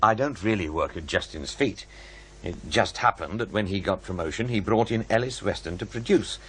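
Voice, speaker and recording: An actor playing a reasonable, quietly defensive writer in a radio drama, a husky voice and a diplomatic manner. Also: male voice, fairly low pitch, moderate pace. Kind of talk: naturalistic radio drama dialogue.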